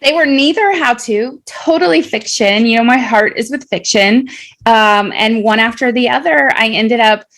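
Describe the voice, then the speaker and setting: A woman's voice: fairly high pitch, moderate pace, fairly bright tone. A middle-aged woman, an educator and writer, co-hosting a podcast in casual chat, enthusiastic and often repeating her phrases.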